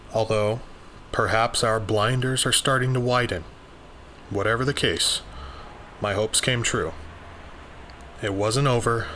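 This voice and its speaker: Audio drama lead: bookish occult investigator, male man performing, precise and earnest, lowish forceful voice. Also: a little hiss or hum. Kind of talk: first-person journal narration, dramatized.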